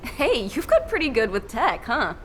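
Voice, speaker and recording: An actress playing a sarcastic, exasperated young squad member in a video game, casual and griping. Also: female voice, on the high side, wildly swooping pitch, normal speed, slight background noise. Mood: exasperated, wry.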